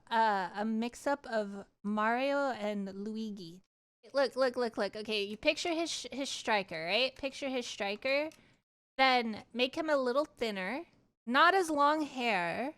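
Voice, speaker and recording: A woman livestreamer casually chatting with her viewers and telling personal stories in an animated, candid, giggly way, swearing freely. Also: on the high side, a little slow. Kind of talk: casual chat and personal storytelling.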